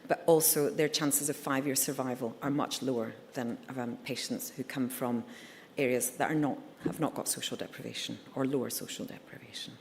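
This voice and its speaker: A woman's voice: extremely low pitch, fairly even intonation, moderate pace, vocal fry, a little hiss or hum. A middle-aged Scottish woman, a surgeon giving a candid conference talk with frequent ums and uhs. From Scotland. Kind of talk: conference presentation. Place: conference hall. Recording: reverberant hall.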